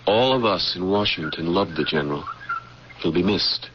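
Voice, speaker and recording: An actor playing an earnest, dutiful military security officer in an old-time radio drama, solemn, formal and emphatic. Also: male voice, low voice, lively intonation, normal speed. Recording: dull, noisy old radio recording.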